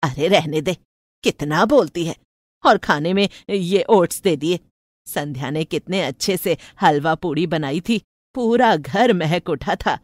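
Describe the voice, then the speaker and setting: A woman voicing an older, traditional village mother in an animated moral story, opinionated yet loving, with a firm, homely manner. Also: lowish voice, unhurried, no room sound. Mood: disapproving.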